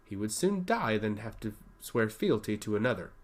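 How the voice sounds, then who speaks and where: A man's voice: lowish voice, sing-song, moderate pace. A man narrating a scripted fantasy story with a dramatic delivery.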